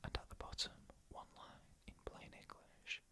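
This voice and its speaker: A narrator speaking entirely in a breathy whisper, telling scary stories in a hushed, deliberate ASMR style with short, pausing phrases.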